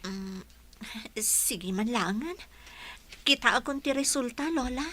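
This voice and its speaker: A woman's voice: a little high, expressive, takes it slowly, pushed and bright, heavily acted. A young woman with a Filipino accent playing an earnest new graduate and aspiring teacher in an audio drama. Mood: nervous, hopeful.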